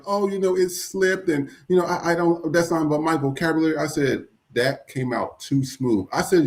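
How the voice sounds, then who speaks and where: A man hosting a podcast, giving blunt, profane commentary on the news. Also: medium pitch. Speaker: podcast host. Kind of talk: casual commentary.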